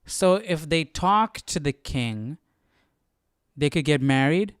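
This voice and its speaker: A man's voice: on the high side, expressive, slow pace, smooth, dry recording, clean studio sound. A man hosting an informal Bible study, reading aloud and puzzling through the text hesitantly as he comments. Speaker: host of a live Bible study.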